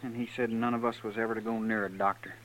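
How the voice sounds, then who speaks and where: An actor playing an earnest, fearful American farm son in a 1950s radio western, plain and deferential. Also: male voice, lowish voice, little pitch movement, fast, fairly bright tone, close to an everyday voice, poor audio. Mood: anxious, earnest. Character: earnest, fearful farm son under his father's thumb. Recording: old radio recording with faint noise.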